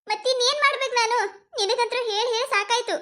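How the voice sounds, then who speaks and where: A woman's voice: extremely high pitch, quick pace, bright, forceful tone. A woman with a North Karnataka Kannada accent acting as a distressed young college girl pleading with her boyfriend. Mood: exasperated. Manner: pleading, breaks into teary laughter. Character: young woman college student, anguished, torn over marriage. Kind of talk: amateur skit acting.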